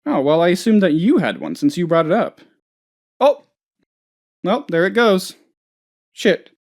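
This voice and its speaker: An actor playing a naive, anxious, scatterbrained office boss who rambles and gets sidetracked, in a video game. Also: male voice, high-pitched, sing-song, unhurried, full character voice. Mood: casual, clueless. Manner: rambling, absent-minded, easily sidetracked. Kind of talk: video game character voice lines.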